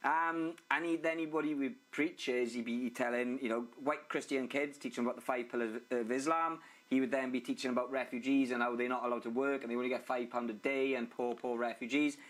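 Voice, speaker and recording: A man's voice: mid-range voice, fairly even intonation, a little fast, few pauses, fairly bright tone, poor audio. A man interviewing a guest in a casual, conversational way, often repeating words as he starts a sentence.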